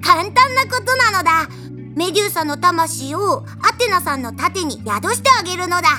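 A woman's voice: very high-pitched, big pitch swings, moderate pace, bright, forceful tone, soft background music, heavily acted. A woman playing a cute, mischievous, childlike messenger god in an audio drama, with a cutesy verbal tic ending sentences. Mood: matter-of-fact. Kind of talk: cartoonish audio drama acting.

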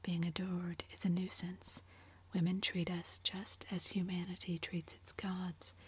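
A woman whispering the lines of a languid, witty aristocratic gentleman in a storybook reading, in a low, flat hush. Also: unhurried, breathy edge. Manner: whispered, epigrammatic, drawling.

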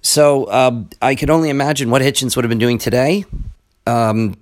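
Man, mid-range voice, slightly raspy, rambling podcast talk, earnest asides. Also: mid-range voice, steady tone, normal speed. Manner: conversational, frequent ums and asides, earnest. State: raspier voice than usual. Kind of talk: casual spoken monologue on books and history.

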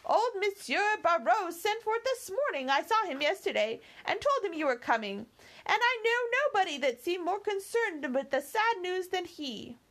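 A woman's voice: very high voice, sing-song, moderate pace, fairly bright tone, pitched above the performer's own voice, exaggerated voice. A woman putting on a chattering old housekeeper. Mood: coaxing. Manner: chattering, doting, well-meaning. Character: fussy, talkative old female housekeeper.

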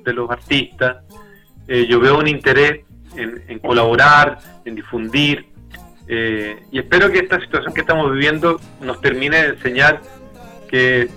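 A man's voice: on the high side, sharp tone, poor audio. A Chilean man from Santiago talking casually and candidly as a musician being interviewed, in a reflective, colloquial way.

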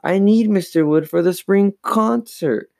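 Give me a man playing a scared young girl from a kids' horror tale, high-pitched, stammering and dramatic. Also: a little slow, vocal fry, mellow, dry recording, clean studio sound. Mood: pleading. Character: frightened, pleading young girl in a children's horror story.

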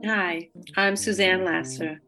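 A woman's voice: lowish voice, fairly even intonation, slow. Woman hosting over guitar music. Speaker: podcast host. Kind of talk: scripted podcast intro.

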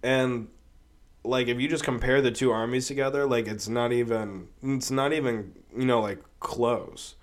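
A man hosts his own podcast while sick with a cold, sniffling and coughing now and then. He rambles and swears, with lots of fillers. His voice sits at an ordinary middle pitch. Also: steady tone, normal speed. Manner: rambling, profane, with many fillers. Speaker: podcast host. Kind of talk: casual solo podcast commentary.

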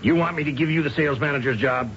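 An actor playing a gruff, sarcastic, demanding company boss in an old-time radio drama. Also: male voice, on the high side, racing, fairly bright tone, close to an everyday voice. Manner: curt, sarcastic, pressing. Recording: dull, faintly noisy old radio recording.

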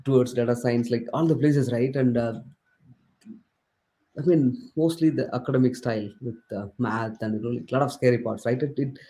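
Man with an Indian English accent, native Tamil speaker, mellow warm tone, hesitant with fillers, guest author. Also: mid-range voice. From southern India. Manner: halting, many fillers and restarts. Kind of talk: casual interview chat.

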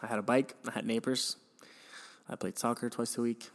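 A man's voice: mid-range voice, flat delivery, brisk. A young man from Venezuela hosting a podcast, telling his life story in a reflective, hesitant way with frequent restarts and sighs. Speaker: podcast host.

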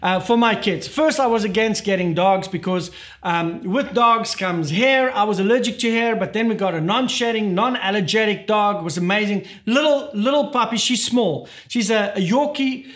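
A man's voice: high-pitched, moderate pace, fairly bright tone. A man preaching a sermon as pastor, urgent and emphatic, repeating his key points.

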